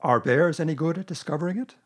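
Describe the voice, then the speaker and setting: A man voicing a slow-witted but eager, amiable male toy bear in a children's storybook. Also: a little high, voice pushed up, natural voice. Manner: simple, amiable, earnest.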